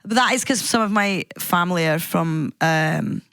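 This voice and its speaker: A woman, a radio presenter guesting on a podcast, who chats in an animated, enthusiastic way. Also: fairly low pitch, normal speed, clear, resonant tone, no echo, studio quality. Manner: animated, fond of doing accents. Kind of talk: casual comedic chat.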